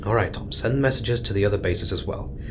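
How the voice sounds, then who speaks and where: A man playing a stressed astronaut commander in a sci-fi audio drama, steadying the crew in measured, hesitant speech. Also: close to an everyday voice. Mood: firm. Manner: measured, hesitant, reassuring with clipped orders. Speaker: mission commander giving orders. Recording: noisy, rumbling background.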